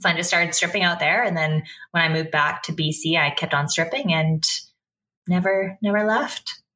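A woman's voice: on the low side, normal speed, sharp tone. A woman from Canada talking casually and candidly as a podcast guest.

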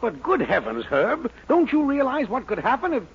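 Agitated middle-aged store boss, American, creaky, fussy, henpecked, muffled old radio comedy. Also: male voice, very high voice, huge pitch swings, moderate pace, heavily acted. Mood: panicky. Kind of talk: 1940s radio situation comedy acting. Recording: muffled, noisy 1940s radio recording.

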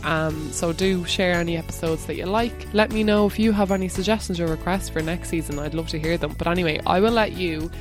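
Woman rambling chattily as podcast host, lowish voice, soft music under it, bit of crackle. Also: brisk, few pauses. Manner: chatty, rambling, laughs often. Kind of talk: casual podcast intro and interview. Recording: crackly static on the mic.

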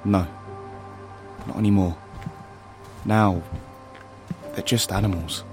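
An earnest male rebel guide in an audio drama. He has a fairly low voice and speaks with protective, insistent conviction, like someone who knows the plan. Music plays behind him. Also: steady tone, slow pace. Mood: grave, insistent.

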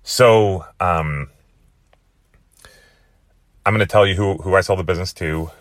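A young man with a hoarse, worn-out voice hosting his podcast in a rambling, chatty way. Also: low-pitched, unhurried, no room sound. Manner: chatty, rambling with many fillers. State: hoarse, lost voice. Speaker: podcast host. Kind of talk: casual monologue.

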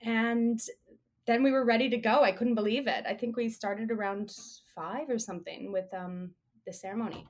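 Hoarse woman guest, laid back and chatty in an interview. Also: on the high side, normal speed. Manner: relaxed and chatty. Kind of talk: casual interview chat.

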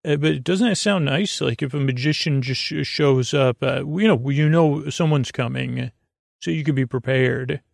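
A man hosting a sleep podcast with a rambling, improvised monologue, halting and meandering through tangents with whimsical, gently silly wordplay. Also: mid-range voice, creaky, dry recording, clean studio sound. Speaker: host of a bedtime sleep podcast.

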